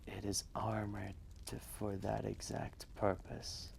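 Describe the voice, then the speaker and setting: An ancient dragon, voiced by a man, speaks low and very slowly in a deep crackling growl. He is wise, gentle and fatherly, murmuring his tale in intimate, hushed roleplay storytelling. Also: monotone, full character voice. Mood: reflective, solemn. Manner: hushed, breathy, measured, with long pauses.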